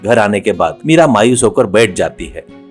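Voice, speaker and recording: A man's voice: on the low side, lively intonation, normal speed, soft background music. A man narrating a scripted story in a storyteller's role.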